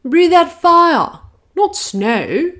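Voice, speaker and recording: A woman voicing a bossy female dragon schoolteacher who gives orders in a loud, high voice. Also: sing-song, very slow, heavily acted. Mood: commanding.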